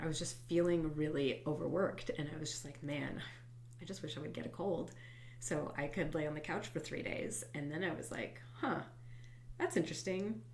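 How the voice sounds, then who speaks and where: A woman hosting a guided tapping session, congested from a head cold, speaking softly and reflectively and sometimes dropping to a whisper. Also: low-pitched, a little hiss or hum. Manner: soft, reflective, drifts into quiet whispered tapping phrases. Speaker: host and EFT tapping coach.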